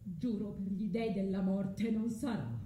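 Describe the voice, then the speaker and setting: A woman's voice: medium pitch, unhurried, dark tone, natural voice. An actress playing Medea, an anguished, vengeful mother, in an impassioned, declamatory tragic monologue for radio theatre. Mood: resolute, anguished. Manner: impassioned, declamatory, swinging between tenderness and fury. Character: Medea, anguished vengeful mother resolving to kill her children. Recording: roomy echo, faint background noise.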